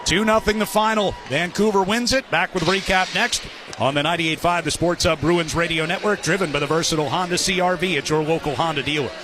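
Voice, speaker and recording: A man's voice: on the high side, fairly quick, background noise. A man doing live radio play-by-play hockey commentary in clipped phrases.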